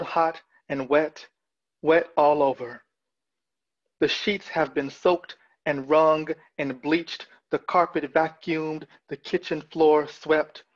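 Slow, deliberate man reading poetry. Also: fairly high pitch. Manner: deliberate, measured phrasing. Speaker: poet. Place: small room at home with bookshelf. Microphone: video call, wearing headphones. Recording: dull, lo-fi video call audio.

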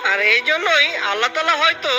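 A woman's voice: high-pitched, expressive, fast talker, over music, rough recording. A woman voicing a devout young boy in a cartoon, speaking in an earnest, plaintive way. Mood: grateful, prayerful. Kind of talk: melodramatic cartoon dubbing.

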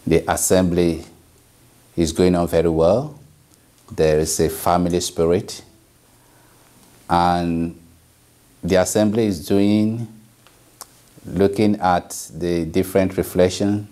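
A middle-aged man from Ghana with a Ghanaian accent, a priest giving measured interview answers with pauses and fillers, filmed indoors in front of a plain wall. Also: deep voice, warm tone. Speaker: priest and delegate. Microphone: camera at head-and-shoulders framing.

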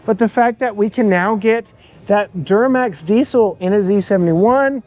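A man's voice: sits high, normal speed, a little mellow. A man hosting a car channel, walking through an auto show and chatting enthusiastically about the vehicles while cueing his camera operator. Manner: enthusiastic, chatty, gushes over details. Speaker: car reviewer and channel host.

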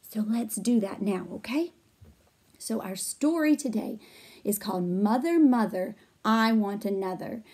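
A woman in her thirties reading a picture book aloud to children in a gentle, expressive way, explaining as she goes. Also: medium pitch, unhurried, breathy edge. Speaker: storytime reader. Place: small furnished room at home.

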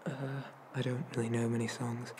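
A man voicing a shy, gentle young male demon in an ASMR roleplay, soft and hesitant, often slipping into whispers. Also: mid-range voice, level tone, moderate pace, lightly acted. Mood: nervous. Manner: hesitant, stammering, bashful. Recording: noticeable background noise.

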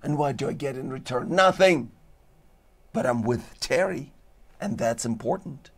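A man hosting a talk show, declaiming in dramatic lists while his voice is going hoarse. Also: medium pitch, expressive, measured pace, soft background music. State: losing his voice. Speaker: show host. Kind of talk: rambling philosophical monologue. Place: studio with green-screen backdrop.

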